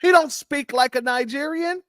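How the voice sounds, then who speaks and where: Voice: sits high, moderate pace, fairly bright tone, no echo, studio quality. A host reacting to a clip on a livestream, speaking in a heated, emphatic way. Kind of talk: livestream commentary.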